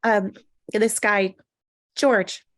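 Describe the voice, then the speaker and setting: A woman's voice: mid-range voice, steady tone, drawn-out words, fairly bright tone. A woman playing a hapless, defiant single woman in a comedy table read, conversational and falsely breezy. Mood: awkward.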